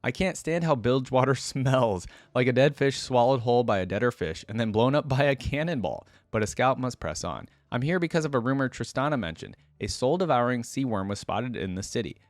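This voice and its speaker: A man's voice: mid-range voice, lively intonation, a little fast, few pauses, dry recording. A man hosting a reaction video, switching between casual off-the-cuff commentary and reading text aloud.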